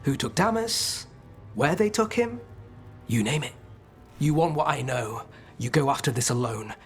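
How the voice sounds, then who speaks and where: Guy acting a wry shady informant in a game, swingy pitch, always bargaining. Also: animated, measured pace, faint music behind, lightly acted. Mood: sly, conspiratorial. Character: wry, scheming male criminal gang informant.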